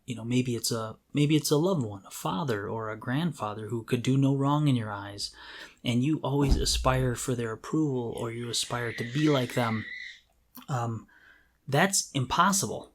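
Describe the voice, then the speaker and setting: A man's voice: medium pitch, normal speed. Man, podcast co-host, casual conversational style, candid, jokey, self-deprecating, mid-range voice.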